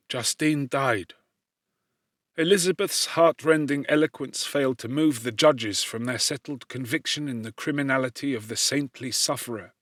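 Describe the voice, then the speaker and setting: A man narrating classic literature as an audiobook in a solemn, measured, theatrical manner. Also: a little high, a bit bright, no echo, studio quality.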